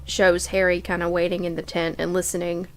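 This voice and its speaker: A woman's voice: lowish voice, level tone, fairly quick, a little hiss or hum. A woman co-hosting a podcast, talking in a casual, conversational style. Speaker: podcast co-host. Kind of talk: casual chat.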